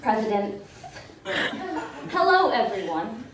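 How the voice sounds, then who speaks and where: A young woman reads a comic short story live with theatrical flair. Her voice sits fairly high and moves in big swoops. The recording is roomy, as if heard from across the venue. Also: slow. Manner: theatrical, expressive delivery with acted dialogue. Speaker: writer reading own short story. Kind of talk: live comedic story reading. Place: stage in a small event room with audience. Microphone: mic on stand, picked up by distant camera. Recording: roomy, distant, noisy live-event recording.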